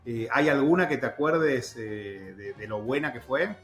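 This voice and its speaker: A man hosting a casual interview, asking relaxed, encouraging questions. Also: medium pitch, unhurried, echoey room, soft background music. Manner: relaxed, affirming, uses vos. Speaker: host.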